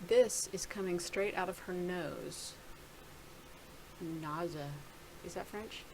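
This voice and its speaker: Rambling woman with a gravelly voice. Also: on the low side, takes it slowly, noisy background. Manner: rambling, thinking aloud with many restarts. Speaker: video blogger. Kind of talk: casual screen-share commentary.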